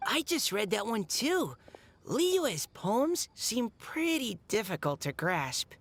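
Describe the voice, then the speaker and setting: A woman's voice: a little high, sing-song, slow, a little hiss or hum, exaggerated voice. A woman voicing a friendly, easygoing young woman adventurer in a video game. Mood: relaxed agreement.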